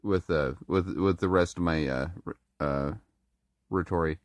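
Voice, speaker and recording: This speaker has a very low, deep voice and has been a little sick, so the throat sounds a bit rough. They ramble to the camera in a halting way, stopping and restarting their sentences, recorded dry and close with no room sound. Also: male voice, no room sound. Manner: halting, many restarts and fillers. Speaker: vlogger. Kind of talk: casual monologue to camera.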